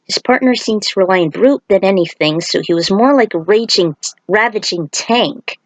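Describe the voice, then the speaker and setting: A woman's voice: medium pitch, dry recording, rough recording. Woman narrating an action story, dry sound. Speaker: fan fiction author reading aloud. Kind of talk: narrated storytelling with casual asides.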